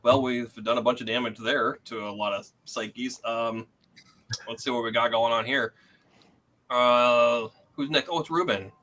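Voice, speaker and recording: A man's voice: medium pitch, fairly even intonation, a little slow, bright, forceful tone. A man hosting a comedy game show with casual, joking banter.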